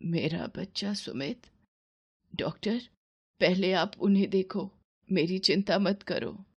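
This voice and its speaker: A woman acts out a frail elderly mother from a cartoon melodrama. She is tender and self-sacrificing, with a slow, weak delivery. Her words come out as sorrowful pleading. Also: lowish voice, breathy edge, highs cut off, heavily acted. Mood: faint, worried. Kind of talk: melodramatic Hindi cartoon story acting.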